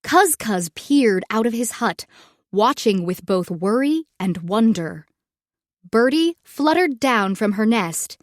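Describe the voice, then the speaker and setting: A woman reads a children's story aloud. Her voice is fairly high and swings widely in pitch as she takes the scripted narration at an unhurried pace, expressively and clearly meant for young children. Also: lively intonation, dry recording.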